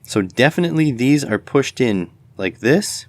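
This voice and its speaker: A man's voice: medium pitch, animated, moderate pace, no echo. A man giving casual hands-on commentary as host of an electronics teardown video, tentatively thinking aloud as he goes.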